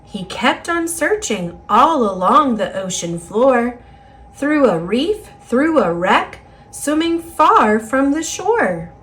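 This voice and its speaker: American lady doing kids storytime, super slow n animated, singsong rhymes. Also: high voice. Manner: deliberate, singsong rhyming delivery. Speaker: storybook reader. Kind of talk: expressive read-aloud narration for children.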